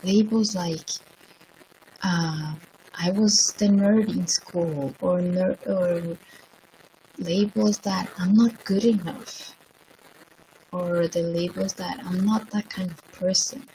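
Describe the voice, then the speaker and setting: Woman, from Colombia, middle-aged, sick, lowish voice, reflective with ums. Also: fairly even intonation, dark tone, a little hiss or hum, poor audio. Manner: slow, reflective, with many ums. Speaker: motivational speaker. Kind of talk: livestream monologue.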